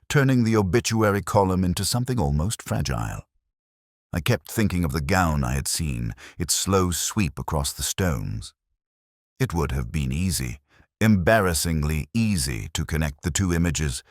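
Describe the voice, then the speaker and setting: An older man playing a melancholy, cerebral detective, narrating a scripted mystery in a measured, reflective way. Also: extremely low pitch, no echo, clean studio sound, full character voice. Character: melancholy, cerebral, brooding male English police detective.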